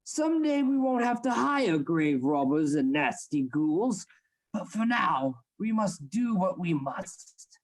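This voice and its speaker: An actor playing a stern, secretive anatomy lecturer in a radio play, formal and instructing. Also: male voice, high-pitched, sing-song, takes it slowly, exaggerated voice. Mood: grave, secretive.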